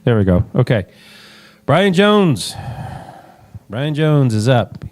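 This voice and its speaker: A man's voice: mid-range voice, very animated, takes it slowly. A man hosting a meeting and introducing speakers with informal, offhand, joking announcements. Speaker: meeting host introducing candidates.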